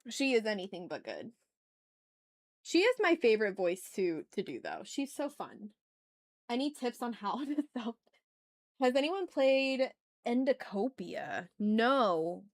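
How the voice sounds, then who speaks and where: A woman's voice: a little high, very animated, a little slow, dry recording. A woman chatting casually and playfully with her viewers as she hosts a live art stream. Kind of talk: casual livestream chat while drawing.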